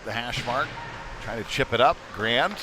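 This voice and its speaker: Male hockey play-by-play commentator, mid-pitched voice, conversational and clipped phrasing, live broadcast with clear rink noise behind him. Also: moderate pace.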